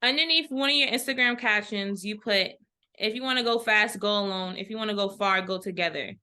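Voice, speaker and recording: A woman's voice: mid-range voice, a little fast, sharp tone, smooth. A woman hosting an interview, asking questions and affirming often with short agreements. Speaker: interview host.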